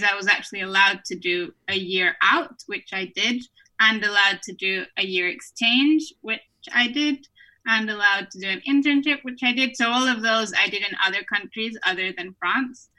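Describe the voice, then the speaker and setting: A woman in her twenties from Peru talks as a guest on an online podcast. Her tone is bright and forward. She tells her story in a friendly way and laughs often. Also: mid-range voice, low-quality recording. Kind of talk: casual interview storytelling. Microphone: remote online recording.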